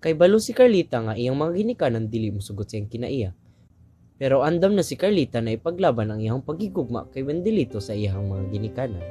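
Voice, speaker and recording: A man's voice: medium pitch, big pitch swings, fairly quick, mellow, breathy edge, soft background music. A man with a Cebuano accent narrating a radio drama in a scripted storytelling manner.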